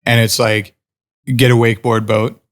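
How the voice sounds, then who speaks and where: A man with an ordinary middle-pitched voice tells a loose, rambling story. He keeps padding his sentences with "like" and "you know.". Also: flat delivery, moderate pace. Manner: rambling, many "like" fillers. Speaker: podcast guest. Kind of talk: casual chat.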